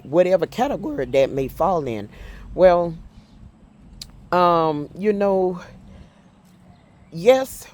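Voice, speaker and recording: A middle-aged woman vlogging in an earnest, heartfelt way, with halting speech full of fillers and restarts. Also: low voice, darkish tone. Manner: heartfelt and faith-driven. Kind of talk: casual personal talk to camera.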